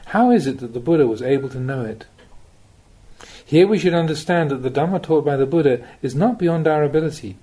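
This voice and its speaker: A man reading a spiritual teaching aloud as an audiobook, with measured, deliberate phrasing and pauses. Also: a little high, animated, dark tone, slightly rough. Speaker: Buddhist monk reading recorded teachings. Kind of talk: audiobook reading of a Dhamma talk.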